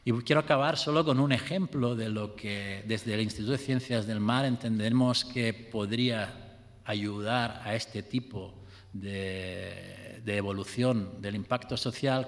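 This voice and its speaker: A man giving a formal, measured conference talk as an institute director. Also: fairly low pitch, steady tone, in a large hall.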